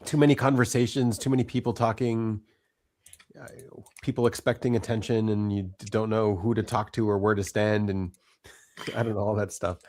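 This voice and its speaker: A man's voice: fairly low pitch, flat delivery, normal speed, a little mellow. A man chatting in an online group call, making wry, teasing remarks. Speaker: participant in an online group chat. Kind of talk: casual chat.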